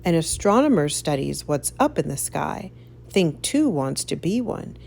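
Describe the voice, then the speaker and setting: A woman reading a rhyming picture book aloud for children in an expressive, sing-song storytelling way. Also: deep voice, measured pace, creaky, a little hiss or hum. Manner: animated, sing-song, careful storytelling delivery.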